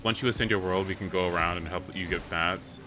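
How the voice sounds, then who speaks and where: A man giving casual, excitable gaming livestream commentary, exclaiming and swearing freely as he plays. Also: deep voice, fast talker, music in the background. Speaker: live streamer playing a video game.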